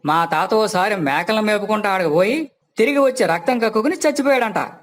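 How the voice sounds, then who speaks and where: A man's voice: high-pitched, expressive, a little fast, a bit bright. A man voicing a plaintive, wary, superstitious villager in a moral story. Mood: fearful.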